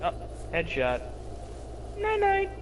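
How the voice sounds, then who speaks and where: A man with a lisp chatting excitedly while gaming, cursing and yelping at what happens on screen. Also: extremely high pitch, slow pace, background noise. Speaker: gamer. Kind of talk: excited gameplay chat.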